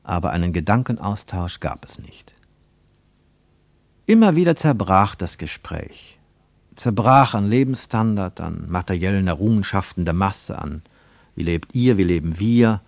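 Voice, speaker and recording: A man narrating a literary radio travelogue in a measured, emphatic and carefully phrased way. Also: low-pitched, expressive, vocal fry, no echo. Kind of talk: scripted literary narration, German radio play.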